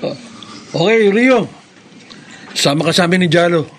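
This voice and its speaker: A cocky but loyal young buddy in a radio play. He has a high voice for a man and talks in an expressive, slangy way, with faint noise behind. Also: normal speed. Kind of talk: radio drama acting.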